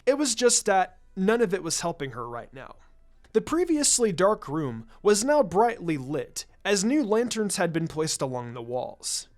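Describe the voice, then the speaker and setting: A narrator reading a scripted story in an expressive, dramatic way, drawing out phrases for effect. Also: mid-range voice, unhurried, soft background music. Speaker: fan fiction narrator.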